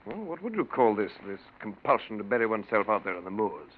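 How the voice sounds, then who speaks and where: Radio drama part: exasperated, concerned brother-in-law, lowish voice, very animated, vintage recording. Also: male voice, quick pace. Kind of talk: 1940s radio acting. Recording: noisy, muffled 1940s radio recording.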